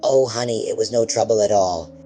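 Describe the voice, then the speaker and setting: A low, dark, mocking croon from a slimy monster posing as someone's mother, as a man puts it on. The voice sounds set back from the mic, with soft music underneath. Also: brisk, close to an everyday voice. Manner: mocking, sinister, cooing.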